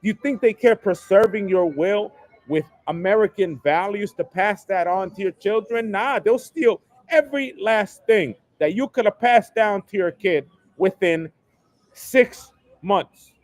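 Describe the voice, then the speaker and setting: A man's voice: high-pitched, unhurried, no echo. A man hosting a solo livestream, delivering an emphatic, repetitive rant that hammers the same phrases. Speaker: livestream host. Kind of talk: ranting solo livestream monologue. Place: home setup, New York.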